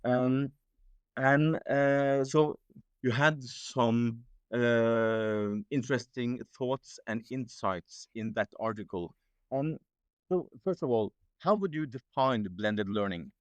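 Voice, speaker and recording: A man's voice: medium pitch, slow pace, smooth, dry recording, muffled recording. A man hosting a podcast, asking interview questions in a polite, hesitant way with many fillers.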